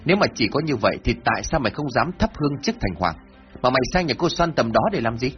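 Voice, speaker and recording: Casting: man playing a harsh bullying village accuser, shouting and lively, lo-fi. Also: medium pitch, quick pace, lightly acted. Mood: angry. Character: gruff, hot-tempered male villager accuser. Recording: dull lo-fi with faint music.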